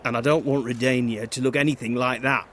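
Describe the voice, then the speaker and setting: An actor playing a plain-spoken, quietly disillusioned soldier on guard in a fantasy video game, talking in blunt, clipped, colloquial phrases. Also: male voice, mid-range voice, steady tone, fast, a little hiss or hum, lightly acted. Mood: resigned, bitter.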